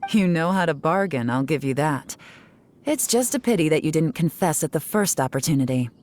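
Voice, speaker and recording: Low-voiced, cool stern investigator. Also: female voice, expressive, brisk, darkish tone. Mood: firm, wry. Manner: commanding, wry, composed. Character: cool, stern, self-assured female investigator. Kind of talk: dramatic video game voice acting.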